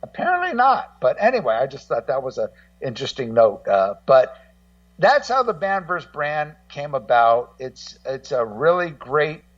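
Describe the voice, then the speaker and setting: A man in casual radio conversation, rambling and halting as he repeats words and acts out what other people say. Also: mid-range voice, big pitch swings, no room sound. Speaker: guest co-host and music documentary maker. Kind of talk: casual radio talk, telling anecdotes. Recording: muffled, slightly distant voice feed.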